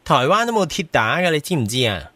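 High, lively, campy Hong Kong man. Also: fast, exaggerated voice. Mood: coaxing. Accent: Hong Kong Cantonese. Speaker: lead and narrator of radio drama. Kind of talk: comedic radio drama narration and dialogue. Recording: radio drama with music underneath.

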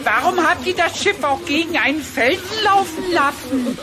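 An actor playing a cheeky, quick-witted cartoon rabbit in a children's audio play, animated and cocky, with music behind. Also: extremely high pitch. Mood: bossy.

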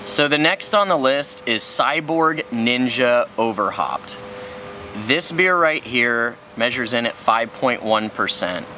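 A young man with a bright, mid-pitched voice reviews beers on an outdoor patio. He states his opinions plainly and without fuss. A faint hum of outdoor noise carries under him. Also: lively intonation, slow pace. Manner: frank, matter-of-fact opinions. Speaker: beer review co-host. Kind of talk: casual beer tasting review.

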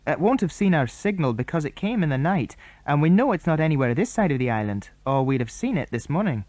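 A man's voice: a little high, very animated, fast, a little mellow, dry recording, pitched above the performer's own voice. A man reading aloud and voicing a brave, thoughtful young boy who leads the others, in an eager, earnest way.

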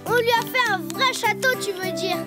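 A performer voicing a cheerful, eager little boy donkey in a children's cartoon, childlike and expressive. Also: very high voice, moderate pace, over music. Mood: proud, excited.

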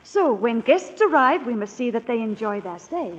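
Genteel aunt, gentle, high lilting voice, old radio drama, reverberant and muffled. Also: female voice, lively intonation, moderate pace, husky. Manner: genteel, affectionate phrasing. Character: gentle, genteel aunt of noble family, indulgent. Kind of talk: 1940s radio acting. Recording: dull old radio recording, long hall echo.

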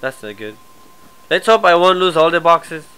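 Male streamer with a cold, stuffy nose, bright and smooth voice, casual live commentary. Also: fairly high pitch, animated, moderate pace, low-quality recording. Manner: casual, muttering asides, trailing off quietly.

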